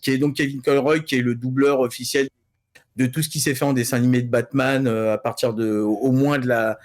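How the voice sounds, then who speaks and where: A man's voice: mid-range voice, steady tone, darkish tone. Guy on a geek news panel, casual, keeps repeating words and stopping as he goes. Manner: halting, repeats words while building sentences. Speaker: panellist on a pop-culture news show. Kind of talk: casual group chat.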